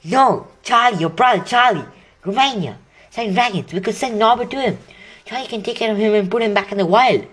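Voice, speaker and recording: A reader voicing an earnest schoolboy wizard who talks high and urgently, during a read-aloud of a children's novel. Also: brisk, fairly bright tone, natural voice.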